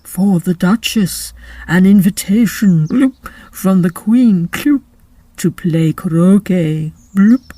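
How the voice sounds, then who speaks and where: A stiff, solemn fish in servant's livery acted by a woman makes formal storybook announcements. The delivery is slow and ceremonious, bubbling with little blooping sounds, in a dry close sound. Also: on the low side, warm tone, no room sound.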